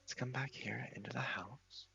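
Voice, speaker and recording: A man giving soft, half-whispered ASMR commentary on a game playthrough, murmuring to himself and reading text aloud in an unhurried way. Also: mid-range voice, gravelly, highs cut off, faint music behind. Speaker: game streamer, video host.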